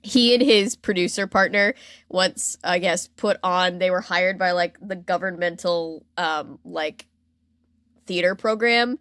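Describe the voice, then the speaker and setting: A woman's voice: fairly low pitch, measured pace, a bit bright, dry recording, clean studio sound. A woman hosting a podcast, chatting casually and informally about pop culture history with wry, jokey asides. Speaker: podcast host.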